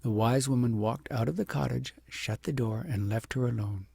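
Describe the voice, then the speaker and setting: A man with a gravelly, husky voice narrating a storybook in a measured, deliberate and expressive way. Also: mid-range voice, dry recording. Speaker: audiobook narrator.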